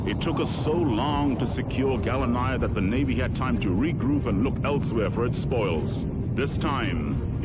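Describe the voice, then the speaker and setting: An actor playing a solemn veteran rebel pilot, narrating a video game cutscene in a grave, deliberate, portentous way. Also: male voice, fairly low pitch. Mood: weary, grim. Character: solemn male war veteran pilot of rebel colonial fleet. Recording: voice under loud background music. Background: loud music.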